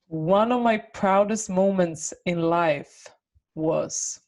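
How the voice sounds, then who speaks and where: A woman's voice: lowish voice, slow, a little mellow. A woman in her twenties hosting an interview, asking questions in a friendly, giggly way. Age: 20s.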